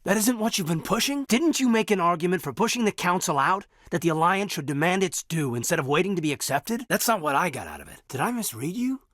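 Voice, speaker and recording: A man acting in a video game as an earnest, loyal military officer, reserved and thoughtful, with a husky voice and a sincere, measured delivery. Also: high-pitched, very animated, a bit bright, dry recording, clean studio sound, exaggerated voice. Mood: hurt, confused.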